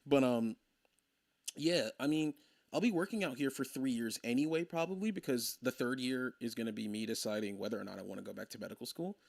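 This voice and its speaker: A young man in his twenties chatting on a game stream, rambling through his thoughts and laughing often. His voice is mid-pitched and sits close and dry on the mic, with no room sound around it. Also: a little fast, gravelly, dry recording. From Maryland. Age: 20s. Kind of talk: casual chat on a game stream.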